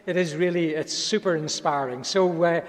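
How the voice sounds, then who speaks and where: A man moderating a panel question session, speaking haltingly with restarts and ums. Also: a little high. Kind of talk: hosting a panel Q&A. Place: large conference hall. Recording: long reverb of a large hall.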